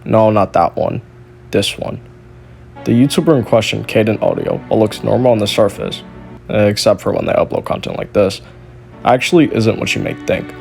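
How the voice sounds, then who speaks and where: A man's voice: on the low side, expressive, moderate pace, vocal fry, a little mellow, faint music behind. A man delivering scripted narration in the style of an exposé documentary. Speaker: video narrator.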